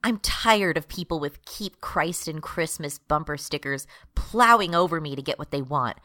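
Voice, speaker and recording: A fed-up retail worker turned rebel leader played by a woman rants in a radio drama. The voice is a little low and very sharp and forceful, with an exasperated manner. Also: animated, moderate pace. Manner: exasperated, ranting.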